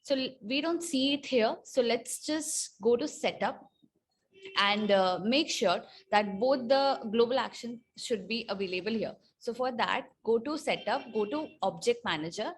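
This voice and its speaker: Woman giving a halting software walkthrough. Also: a little high, animated, a little hiss or hum. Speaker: software tutorial presenter. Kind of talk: unscripted screen-share walkthrough.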